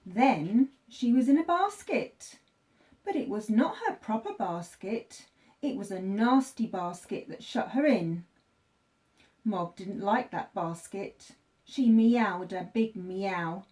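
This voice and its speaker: A woman teacher reading a children's story aloud, with expressive, deliberate phrasing for young listeners. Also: mid-range voice.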